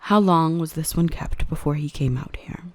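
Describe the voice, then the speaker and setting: A woman playing a dominant yet protective dark elf mistress in an ASMR roleplay, by turns cutting and tender. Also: sits low, normal speed, dry recording, lightly acted. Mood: stern, menacing. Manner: stern and cutting to traders, tender and coaxing to the human. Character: dominant female dark elf, protective, gentle with her rescued human.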